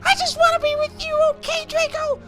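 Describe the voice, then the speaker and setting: A man's voice: level tone, brisk. Man putting on a shrieking, whiny teenage goth girl. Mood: whiny, indignant. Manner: shrill, indignant whining. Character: melodramatic, whiny, foul-mouthed vain teenage goth girl. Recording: music playing behind the voice.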